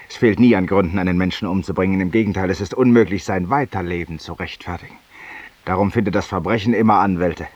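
A man's voice: lowish voice, no room sound, natural voice. An actor playing a world-weary, eloquent, guilt-ridden man who confesses intimately to an unseen companion in a 1950s radio drama monologue. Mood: probing, ironic. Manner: intimate, rhetorical, addressing an unseen companion, sometimes dropping to a whisper. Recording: muffled, distant 1950s radio recording.

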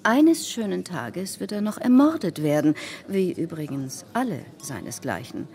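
An actress playing an imperious, scornful older society hostess in a radio play, conspiratorial and dramatic in manner. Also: female voice, lowish voice, sing-song, moderate pace, soft background music. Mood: conspiratorial, indignant. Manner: conspiratorial, commanding, dramatic, sinking into hushed endings. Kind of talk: German radio play acting.

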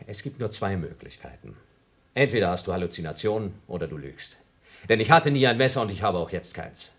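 A man's voice: deep voice, very animated, a little fast, fairly bright tone, exaggerated voice. An actor playing a younger astronaut in a German radio drama, arguing with cold, pedantic logic and accusing his rival. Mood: cold, reasoning. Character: younger male astronaut, cunning, argumentative, coldly accusing rival.

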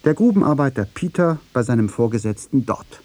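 A man delivering scripted commentary for a radio feature in a husky, mellow voice. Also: mid-range voice, expressive, a little fast, dry recording. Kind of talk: scripted narration.

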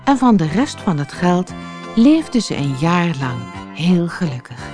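Slow, expressive woman storyteller. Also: fairly low pitch, music in the background. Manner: storytelling, deliberate. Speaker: audiobook narrator. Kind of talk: expressive fairy-tale narration for children.

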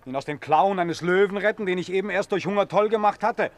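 A man acting in a radio play as a callous, excitable lion keeper who complains in a colloquial way. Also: sits high, quick pace, natural voice.

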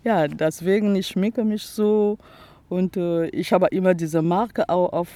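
Slow, halting woman with a Congolese accent. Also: fairly low pitch, steady tone, dark tone, dry recording. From Republic of the Congo. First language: Teke. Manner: halting non-native German, searching for words with many fillers. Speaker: artist, interviewee. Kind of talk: casual interview chat. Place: outdoors in the grass by a lake.

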